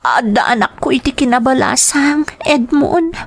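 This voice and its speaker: A woman's voice: high-pitched, moderate pace, gravelly. A woman playing an apologetic, emotional young sweetheart in a dramatized audio story. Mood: guilty confession.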